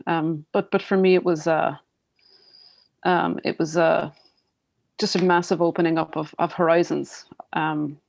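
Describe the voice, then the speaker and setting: A woman's voice: sits low, flat delivery, unhurried, vocal fry, pauses often. An Irish woman in her forties, a writer reflecting in an interview, thoughtful and full of restarts. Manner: thoughtful, many fillers and restarts. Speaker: writer, guest. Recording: dull lo-fi audio.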